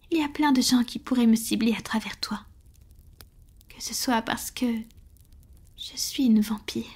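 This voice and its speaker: Girl doing a soft breathy vampire lover, whispery asmr roleplay, slow n tender, kinda high. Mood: worried, loving. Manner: slow, intimate, hesitant. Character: tender, vulnerable centuries-old female vampire lover.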